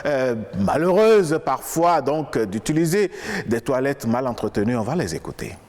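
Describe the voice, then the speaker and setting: A man's voice: mid-range voice, very animated, normal speed, darkish tone, roomy sound, long reverb tail. A man hosting a TV studio talk show, prompting and rephrasing his guest's points. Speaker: TV show host. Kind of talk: studio interview.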